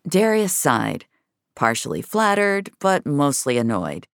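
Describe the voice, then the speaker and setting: A woman's voice: low-pitched, big pitch swings, slow pace, dry recording, clean studio sound. A woman narrating a scripted fiction story as its storyteller.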